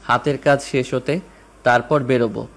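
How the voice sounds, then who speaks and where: A man with a Bangladeshi accent playing a calm, serious doctor who gives curt, composed instructions in an audio romance. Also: medium pitch, normal speed, close to an everyday voice. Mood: calm, firm. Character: calm, serious male heart doctor, terse and commanding. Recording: music under the voice.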